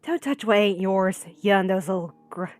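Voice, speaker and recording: A woman voicing a cold-blooded, menacing killer from a visual novel, with a slow, threatening drawl. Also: medium pitch, darkish tone, dry recording, close to an everyday voice. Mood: cold menace.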